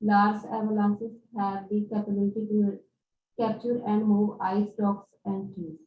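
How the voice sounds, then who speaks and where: Flat-toned female student, distant and echoey. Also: mid-range voice, a little slow. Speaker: student in test preparation class. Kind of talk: reading aloud, hesitant in places. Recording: distant, dull, echoey, noisy room sound.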